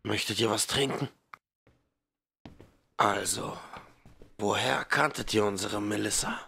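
An actor playing a calm, grieving father of a missing girl in a fan audio drama. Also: on the low side, slow, vocal fry, husky, full character voice.

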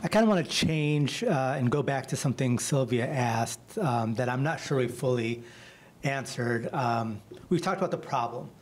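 A man's voice: mid-range voice, lively intonation, moderate pace. Earnest man moderating a panel. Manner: earnest, questioning. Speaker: co-moderator, civil rights attorney. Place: studio stage. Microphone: handheld mic.

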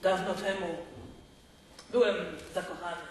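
An actor playing a swaggering, lovelorn pirate captain in an improvised musical stage story, theatrical and given to song. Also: male voice, high-pitched, steady tone, measured pace, recorded from a distance, heavy background noise. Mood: wistful. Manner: theatrical, often bursting into song. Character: swaggering male pirate captain obsessed with five, secretly lovelorn. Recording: echoey hall from afar, loud piano. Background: loud live piano music.

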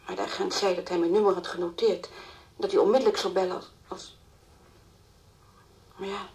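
An actress playing the anxious, distraught mother of a missing girl in a Dutch radio play, halting and repeating her words. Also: medium pitch, fairly even intonation, vocal fry, a little mellow, close to an everyday voice. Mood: despairing, distraught. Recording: old radio play recording, faint hiss.

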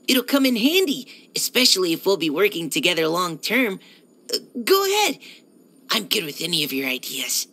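A woman's voice: fairly low pitch, sing-song, moderate pace, full character voice. A woman voicing a polite, earnest young male military surveyor in a video game, with a hesitant, helpful manner. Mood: earnest, friendly. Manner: hesitant, soft-spoken politeness, eager to help. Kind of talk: video game character voice-over.

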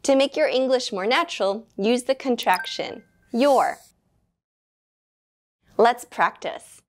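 Young woman, English teacher, unhurried and precise, clip-mic studio read. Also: on the high side. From United States. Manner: slow, clearly enunciated teaching delivery. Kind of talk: scripted beginner grammar lesson. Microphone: lapel mic.

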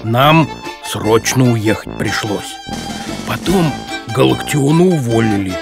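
A man voicing a big, gentle, wistful circus elephant in a cartoon, telling things in a mild, hesitant way. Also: medium pitch, lively intonation, slow, vocal fry, over music. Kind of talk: cartoon voice acting.

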